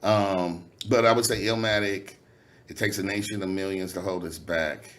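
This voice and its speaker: Low nasal-voiced man talking loosely. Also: steady tone, normal speed, fairly bright tone. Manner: loose, candid, swearing freely, many fillers. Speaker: rapper, guest. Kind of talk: casual interview conversation.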